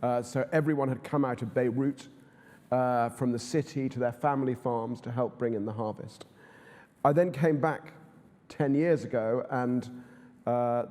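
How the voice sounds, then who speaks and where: A man interviews a guest in a conference hall, and long reverb hangs after his words. His voice is mellow and mid-pitched. He talks haltingly, with a lot of hesitation. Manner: hesitant, many fillers and restarts. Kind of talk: onstage conversation.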